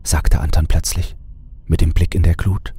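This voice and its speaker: A man with an extremely deep voice narrates a horror story. The tone is dark and heavily rasping. He takes measured, dramatic pauses over faint music. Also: level tone.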